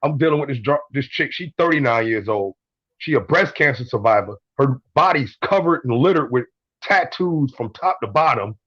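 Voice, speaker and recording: A man's voice: mid-range voice, lively intonation, normal speed, a bit bright, husky, no room sound, highs cut off. A man in his twenties calling in to a show, telling blunt stories, swearing and repeating himself. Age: 20s. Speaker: caller. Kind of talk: casual call-in story.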